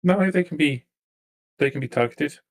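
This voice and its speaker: A man acting as game master, narrating a tabletop game in a halting, improvised way and repeating phrases as he thinks. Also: fairly high pitch.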